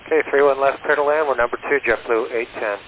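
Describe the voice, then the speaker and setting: Through a thin radio channel with faint hiss, a man speaks at a fast clip in a mid-pitched voice. He is an airline pilot making short, procedural calls and readbacks. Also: fairly even intonation, phone-quality sound. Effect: radio transmission.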